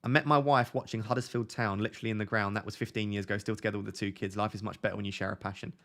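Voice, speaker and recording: Twenty-something essex guy, accent mostly toned down, lowish voice, rambling live stream chat. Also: fairly even intonation, very fast, fairly bright tone. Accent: softened Essex English. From Essex, England. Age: 20s. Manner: chatty and candid, rambling with restarts and tangents. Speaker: fantasy football streamer answering chat.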